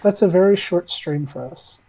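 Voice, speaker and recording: A woman podcast guest in casual chat, with a loud voice, hesitant and full of fillers. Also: lowish voice, moderate pace, muffled recording, a crowd in the background.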